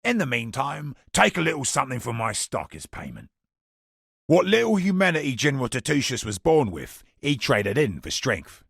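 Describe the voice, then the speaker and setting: An actor playing a chatty, wry merchant in a fantasy video game, with a gravelly, husky voice. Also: male voice, mid-range voice, wildly swooping pitch, moderate pace, a bit bright, no room sound, studio quality, heavily acted. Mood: scheming, cheerful. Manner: colloquial, wry storytelling with British slang. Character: roguish, chatty male merchant, wry and worldly. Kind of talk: scripted video game character dialogue.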